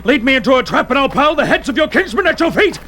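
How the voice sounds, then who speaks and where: An actor playing a furious, savage barbarian warrior who bellows oaths and threats in a fantasy audio drama. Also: male voice, extremely high pitch, sing-song, racing, bright, forceful tone, full character voice. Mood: enraged. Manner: oath-swearing, bellowing, menacing.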